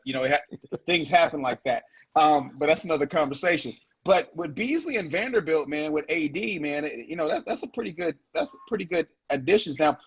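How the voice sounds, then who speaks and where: A man's voice: a little high, slightly rough. A man talking sports as a guest on a casual show, laid-back, often repeating words as he works out his point.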